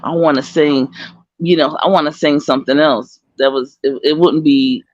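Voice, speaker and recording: A woman's voice: low-pitched, fairly even intonation, moderate pace, no echo, highs cut off. A woman in a casual chat who hedges bashfully and dodges being put on the spot. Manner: bashful, hedging, playful. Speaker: stream guest.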